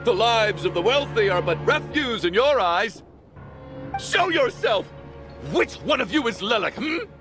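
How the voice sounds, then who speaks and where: High-pitched, melodramatic rich man. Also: sing-song, a little slow, heavily acted. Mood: outraged. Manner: melodramatic, indignant. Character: frantic, accusatory wealthy man in a murder mystery. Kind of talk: theatrical game voice acting. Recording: loud background music.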